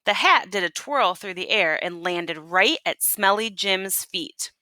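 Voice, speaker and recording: A woman teacher reading a storybook aloud to children and pausing to ask them questions. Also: medium pitch, sharp tone, dry recording.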